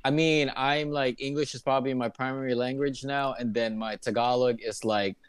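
A man's voice: medium pitch, steady tone, moderate pace, smooth, no echo. A man from Kansas City, Kansas, chatting as a podcast guest in an easygoing, hesitant way. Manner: easygoing, hesitant, laughs often. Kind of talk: casual interview chat.

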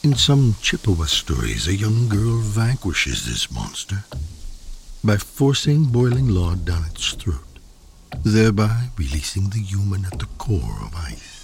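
Deep, gravelly man reciting slowly. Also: very animated, slight background noise. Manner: brooding, hushed, dropping into whispers. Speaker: poetry reader. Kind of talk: dramatic poetry recitation with sound design. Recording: music bed under the voice. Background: music and sound effects.

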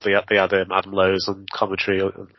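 A man in his forties hosting a relaxed wrestling chat, tripping over his words between laughs. His husky voice is slightly low. The sound is dry, close and dull. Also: fast, no room sound. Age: 40s. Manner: chatty, laughs often, repeats words. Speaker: interviewer. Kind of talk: casual interview chat.

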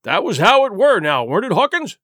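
A man's voice: high-pitched, big pitch swings, brisk, a bit bright, no room sound, clean studio sound. A man voicing a hearty, jovial old male sea cook in a storybook reading, full of salty sailor slang.

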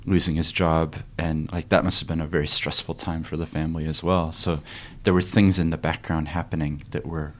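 Deep-voiced curious male interviewer. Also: flat delivery, a little fast, hardly any pauses, fairly bright tone. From New Zealand. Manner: curious, hesitant, searching questions. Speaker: podcast host. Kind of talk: casual conversational interview.

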